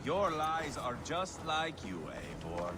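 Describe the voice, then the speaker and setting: An actor playing a cocky Viking warrior who relishes a fight, mocking and swaggering in a game cutscene. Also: male voice, on the high side, sing-song, slow pace, background noise.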